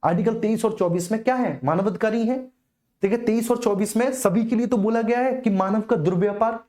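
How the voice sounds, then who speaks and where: A woman teaches an exam-prep class in a dark, relaxed voice at a middling pitch. She lectures informally, restates key phrases for emphasis and keeps checking that students follow.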